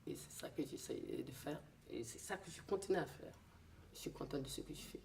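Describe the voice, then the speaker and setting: A woman's voice: deep voice, lively intonation, fairly quick, gravelly, noisy background. A woman speaking casually in an interview. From Niger. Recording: distant mic, faint music behind.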